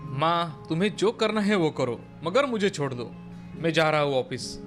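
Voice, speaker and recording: A man's voice: a little high, expressive, moderate pace, a bit bright, faint music behind. A man playing an agreeable, dutiful family man in a cartoon story. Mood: dutiful, resigned.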